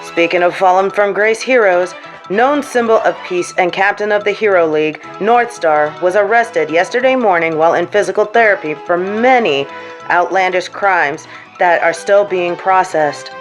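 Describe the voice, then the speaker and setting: A woman playing a TV news anchor in an audio drama, reading a scripted bulletin in a formal, measured way. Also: on the low side, faint music behind, close to an everyday voice. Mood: formal, serious. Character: female TV news anchor reporting on superheroes and villains.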